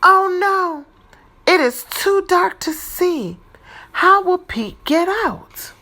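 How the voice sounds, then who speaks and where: A woman reading a children's picture book aloud in a deliberate, expressive teacher's style. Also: very high voice. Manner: deliberate, animated, dramatizing for young children.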